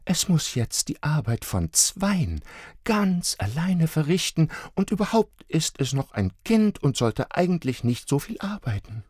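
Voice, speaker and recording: Storybook figure: a man doing a worried mother, raised soft voice, slow. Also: fairly high pitch, very animated, breathy edge, dry recording, studio quality, voice pushed up, exaggerated voice. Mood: fretful.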